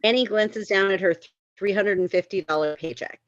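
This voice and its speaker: A woman's voice: mid-range voice, brisk, no room sound, muffled recording. A woman reading stage directions at a live script table read, informal and lightly amused.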